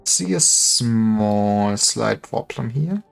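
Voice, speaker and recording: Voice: medium pitch, lively intonation, extremely slow, creaky, soft background music. A game streamer chatting casually on a livestream, thinking aloud and breaking off mid-thought. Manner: thinking aloud, self-interrupting.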